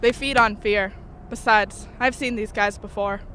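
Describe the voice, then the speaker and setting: A woman's voice: mid-range voice, fairly even intonation, a little slow, sharp tone, a little hiss or hum. A woman playing an unfazed, bossy young ghost-fighting companion in an audio horror drama, speaking in a cool, matter-of-fact, commanding way.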